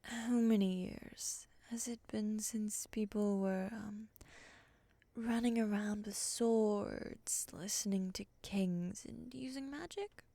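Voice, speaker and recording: Woman acting as calm moth monster captor, mellow, creaky, slow, whispery, condescending, ASMR roleplay. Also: mid-range voice, dry recording. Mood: dry, probing. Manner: soft, often whispery, condescending endearments.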